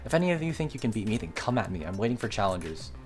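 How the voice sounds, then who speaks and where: Man voicing a peppy, animated young woman club president. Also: fairly low pitch, very fast, soft background music. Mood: boastful.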